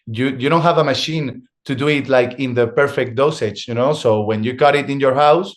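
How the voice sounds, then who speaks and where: A young adult man from Spain with a Spanish accent, a guest in an interview, speaking English as a second language and talking haltingly, with frequent restarts as he searches for words. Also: medium pitch. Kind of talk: conversational interview.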